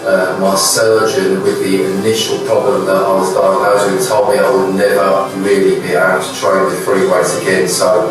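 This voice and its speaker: A man's voice: low-pitched, completely flat delivery, normal speed, few pauses, distant mic. A man speaking as a patient in a promotional video testimonial. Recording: echoey playback from afar, music behind.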